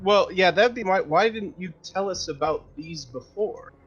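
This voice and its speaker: A man's voice: high voice, normal speed, a bit bright, slightly rough, muffled recording. A man playing a tabletop game, bantering casually and protesting in character.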